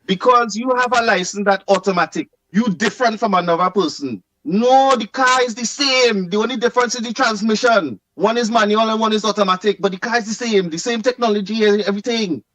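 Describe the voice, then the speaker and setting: A man's voice: high voice, a little fast, hardly any pauses, fairly bright tone, no room sound. A Trinidadian man calling in to a talk show, laughing often and repeating himself emphatically. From Trinidad and Tobago. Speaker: caller. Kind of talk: animated phone-in rant.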